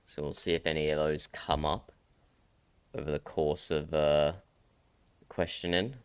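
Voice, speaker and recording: Deep-voiced man, freshly woken. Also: little pitch movement, unhurried, clear, resonant tone. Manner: rambling, self-correcting, teasing. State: croaky from just waking up. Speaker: streamer host. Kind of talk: casual livestream chat. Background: faint background music.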